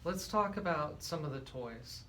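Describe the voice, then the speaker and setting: A man's voice: fairly high pitch, expressive, measured pace, a bit bright. An American man hosting a radio interview, asking questions in a conversational way. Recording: faint background noise.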